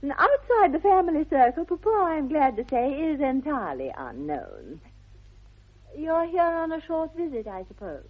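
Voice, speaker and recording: High-pitched, haughty young English aristocrat. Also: female voice, very animated, moderate pace. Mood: haughty, candid. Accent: upper-class English. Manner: affected, precise, imperious politeness. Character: poised, haughty young aristocratic lady, grandly self-assured. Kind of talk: 1960s radio comedy of manners acting. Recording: dull vintage 1960s radio recording.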